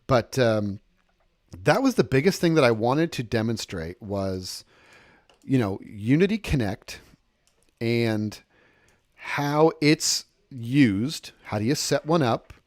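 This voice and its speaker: A man with a medium-pitched voice walks listeners through software on a shared screen. He explains informally, one step after another, and circles back to stress important points. The recording is dry, with very little room sound. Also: animated, slow, no echo. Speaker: software instructor and demonstrator.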